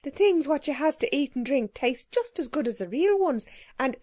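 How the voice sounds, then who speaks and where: A bold, chatty cook turned island queen, voiced by a woman reading a storybook. She talks in common dialect, pitched a bit higher than the narrator, with a mellow tone in a dry, close recording. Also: expressive, moderate pace, no room sound.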